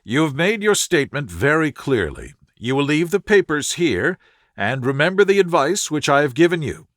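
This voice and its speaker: A man's voice: fairly high pitch, very animated, moderate pace, no echo, clean studio sound. A man voicing a composed, authoritative male detective with a precise, assured, lecturing manner in an audiobook. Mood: businesslike. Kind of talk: audiobook character dialogue.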